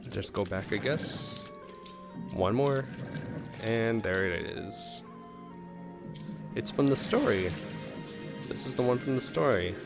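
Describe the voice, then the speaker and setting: A man's voice: fairly low pitch, animated, slow, creaky, pauses often. A man doing casual reaction commentary as a streamer, musing aloud in a laid-back way. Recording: game music behind the voice.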